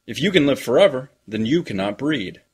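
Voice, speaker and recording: An immortal being voiced by a man coolly addresses humankind in a husky mid-pitched voice. The phrasing is deliberate and emphatic, in the style of fantasy audiobook narration. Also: sing-song, darkish tone. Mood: cool, assured. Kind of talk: first-person fantasy story narration.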